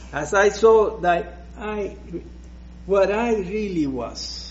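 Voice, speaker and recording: Slow, hesitant Puerto Rican preacher. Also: male voice, a little high, gravelly, muffled recording, slight background noise. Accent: Puerto Rican Spanish. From Puerto Rico. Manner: slow, hesitant, with many restarts. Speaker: guest preacher. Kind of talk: personal testimony in church.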